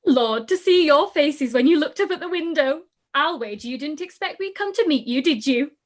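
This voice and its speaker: A giddy, careless teenage girl, played by a woman in a radio play. She chatters in a high voice that swoops about. Her delivery is lively and sing-song. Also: normal speed. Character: giddy, silly youngest sister, teenage girl. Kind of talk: live-read radio play.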